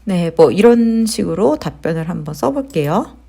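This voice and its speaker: A woman hosting a casual step-by-step software tutorial, explaining conversationally and putting questions to her viewers. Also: on the low side, lively intonation, moderate pace, creaky, slightly rough. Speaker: tutorial host, digital marketer.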